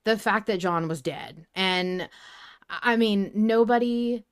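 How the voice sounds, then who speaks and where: A woman's voice: mid-range voice, slow pace, smooth. Woman cohosting a fan podcast, chatty n earnest, really leans on her points, talks in bursts. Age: 30s. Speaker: podcast co-host. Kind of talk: casual fan discussion podcast.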